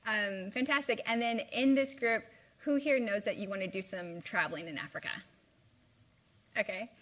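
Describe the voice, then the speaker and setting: A woman's voice: mid-range voice, normal speed, fairly bright tone. Chatty young woman from the Midwest. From Midwest US (Indiana). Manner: chatty, lots of "like" and "um". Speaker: guest speaker. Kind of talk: informal live talk with slides. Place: university lecture room.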